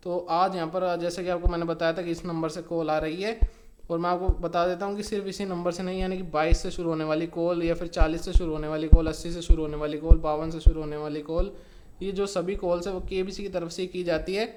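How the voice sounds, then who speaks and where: Higher-pitched man, flat explainer delivery. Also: fast, a little hiss or hum. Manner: repetitive, step-by-step instructing with emphasis on key words. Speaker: YouTube tutorial host. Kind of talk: informal explainer narration.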